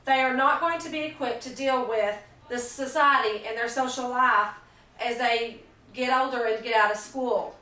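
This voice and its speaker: A woman giving a persuasive speech for a class, earnest and halting, often restarting her sentences. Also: fairly high pitch, pushed and bright. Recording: echoey room, mic far off.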